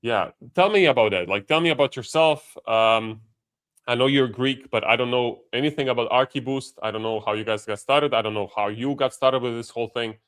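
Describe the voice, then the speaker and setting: A man hosting a casual video podcast, chatty and quick to laugh, talking in loose restarts. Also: medium pitch, fairly bright tone, clear, resonant tone. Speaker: podcast host.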